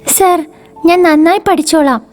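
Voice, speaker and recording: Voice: very high-pitched, unhurried, faint music behind. An actor voicing an anxious, dejected young schoolboy in a children's cartoon story, with a plaintive manner. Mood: pleading. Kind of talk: animated children's story acting.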